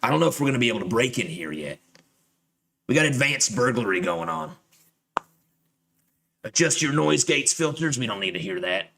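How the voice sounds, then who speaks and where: A man's voice: medium pitch, sing-song, moderate pace, gravelly, pauses often, fairly bright tone, slight background noise. A man giving casual, rambling gameplay commentary as a streamer, jokey and profane. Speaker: video game streamer. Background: game music.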